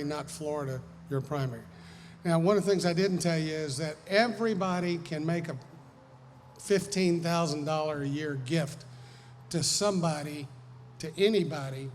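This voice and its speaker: A man with a Southern American accent giving an informal, folksy, explanatory seminar talk as a lawyer. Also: fairly high pitch. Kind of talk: informal lecture with audience questions. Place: large hall, speaking to an audience. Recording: echoey hall, noisy background.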